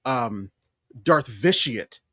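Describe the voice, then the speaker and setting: A man's voice: mid-range voice, animated, drawn-out words, fairly bright tone, dry recording, studio quality. A man co-hosting a podcast, talking casually and emphatically like an opinionated, enthusiastic fan explaining things. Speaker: podcast co-host.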